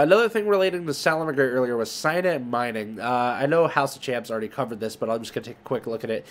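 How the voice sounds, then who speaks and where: A man hosting a market video, giving casual, rambling commentary and thinking aloud as he goes. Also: medium pitch. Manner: rambling, thinking aloud while browsing listings. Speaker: host of a trading card market video. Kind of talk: casual screen-share commentary.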